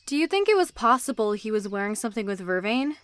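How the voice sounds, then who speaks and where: A woman's voice: mid-range voice, big pitch swings, fast talker, clean tone, close to an everyday voice. A woman playing a protective young supernatural woman who keeps secrets, earnest and pleading, in amateur roleplay acting. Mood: serious, concerned.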